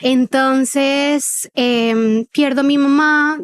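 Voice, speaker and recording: A woman in her twenties with a neutral Colombian accent and a slight paisa lilt, giving personal testimony conversationally as a podcast guest, with thoughtful pauses. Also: sits high, steady tone. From Cartagena, Colombia. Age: 20s.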